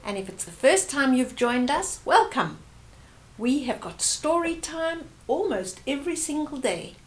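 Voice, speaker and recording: A woman's voice: high-pitched, very animated, a little slow. An older woman hosting a story time, reading aloud expressively and explaining things to young children.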